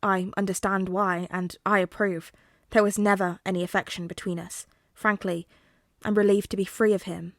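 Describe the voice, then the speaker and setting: A guarded, formal man of dark lineage, read by a woman. The delivery is measured and explanatory, at a medium pitch. The sound is dry, like a storybook reading. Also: flat delivery, no echo, natural voice. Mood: grave, confiding.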